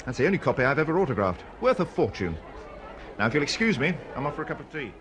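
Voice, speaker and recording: An actor playing a dry, disgruntled schoolteacher and struggling novelist, pedantic and self-deprecating, in a radio drama. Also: male voice, on the high side, very animated, fast, noisy background. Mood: wry, disgruntled. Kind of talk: naturalistic radio drama dialogue.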